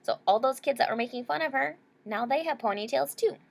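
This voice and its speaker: A woman's voice: sits high, a little fast, gravelly. A woman reading a children's picture book aloud expressively, with explanatory asides to young listeners. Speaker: storybook reader.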